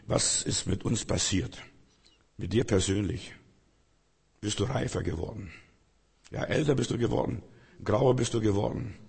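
A hoarse man preaching an earnest sermon full of rhetorical questions. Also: lowish voice, a little mellow. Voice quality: raspy, gravelly. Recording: long hall reverb.

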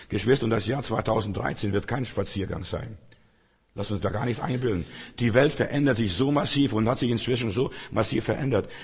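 Hoarse, gravelly preacher. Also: male voice, mid-range voice, darkish tone. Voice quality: raspy, gravelly. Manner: earnest, exhorting, rhetorical questions. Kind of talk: sermon. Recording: long hall reverb.

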